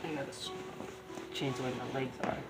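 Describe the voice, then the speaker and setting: A man giving casual, halting live commentary as he streams a video game, often repeating his words. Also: mid-range voice, fairly even intonation, over loud music.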